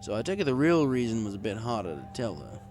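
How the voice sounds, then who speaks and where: A man's voice: on the low side, sing-song, fast, over music. A man reading a first-person story in a wry, conversational way. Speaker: story reader. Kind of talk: first-person story narration with acted dialogue.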